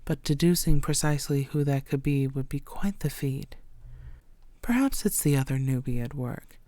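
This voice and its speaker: A woman playing a coy, secretive vampire who poses as a tired blood bank worker, speaking softly and playfully in an intimate audio roleplay. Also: a bass voice, moderate pace, no room sound. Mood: musing, playful. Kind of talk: intimate scripted audio roleplay addressed to the listener.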